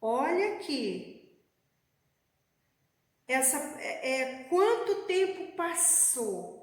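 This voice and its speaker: A woman preaching a sermon in a church, speaking slowly and calling the congregation beloved. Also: sits high, big pitch swings. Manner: slow, measured preaching, addressing the congregation as beloved. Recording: echoey church hall.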